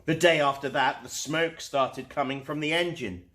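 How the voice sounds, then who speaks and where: A man reading a children's storybook aloud as host, expressively and with dramatic pauses. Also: fairly high pitch. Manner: animated, unhurried, dramatic pauses.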